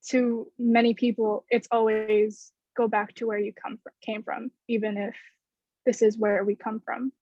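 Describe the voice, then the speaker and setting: Young woman on a panel, flat intonation, earnest and measured, slightly muffled call audio. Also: a little high, no echo. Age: 20s. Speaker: student panelist. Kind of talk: thoughtful panel discussion. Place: bedroom indoors. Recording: dull lo-fi video call.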